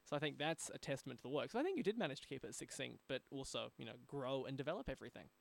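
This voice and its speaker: A man's voice: medium pitch, expressive, very fast, gravelly. An Australian man hosting a podcast in a conversational interview style. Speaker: podcast host.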